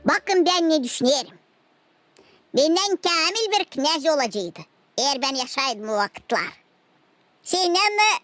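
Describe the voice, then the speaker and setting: A performer voicing a cheeky, boastful child in a cartoon, in an animated, playful manner. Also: high-pitched, normal speed, pauses often, no room sound. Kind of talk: cartoon dialogue.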